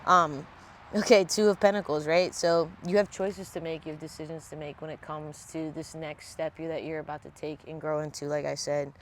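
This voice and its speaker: Casual tarot vlogger, congested, mid pitch, laid-back, swears freely, faint hum of noise behind. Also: little pitch movement, moderate pace. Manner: casual, blunt and profane. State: congested from a sinus infection. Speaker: tarot reader. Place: at home.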